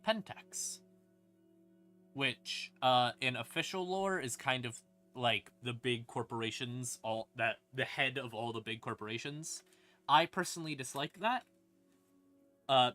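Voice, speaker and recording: Bright-voiced man streaming casual lore talk. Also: mid-range voice. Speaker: streamer explaining game lore. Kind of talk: casual, rambling lore explanation. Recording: music playing behind the voice. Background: creepy ambient music.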